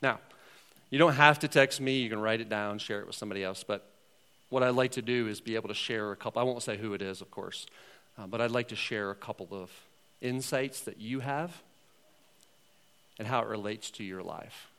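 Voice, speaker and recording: A man's voice: on the low side, fairly quick, bright, forceful tone, clean tone. A man, a pastor, casually setting up a video for his congregation with questions. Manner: conversational, asking the room questions. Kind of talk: sermon introducing a video.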